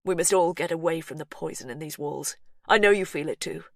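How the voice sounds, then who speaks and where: A woman's voice: deep voice, a little fast, no room sound, clean studio sound. A woman voicing an urgent, anguished man. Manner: earnest, urgent. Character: anguished man pleading with his family.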